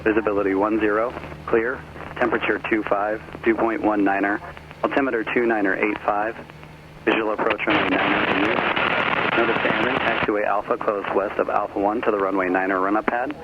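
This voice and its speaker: Low monotone guy reading airport info on plane radio, muffled and noisy. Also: a little fast. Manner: clipped, monotone, formulaic. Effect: aviation radio. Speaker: airport weather information announcer. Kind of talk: recorded aviation information broadcast. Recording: dull, noisy aircraft radio reception.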